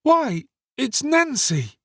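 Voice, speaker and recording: A man's voice: extremely high pitch, sing-song, drawn-out words, a bit bright, no room sound, studio quality, pitched above the performer's own voice, exaggerated voice. A man voicing a frightened, innocent orphan boy who protests in alarm in a storybook reading. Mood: alarmed, protesting.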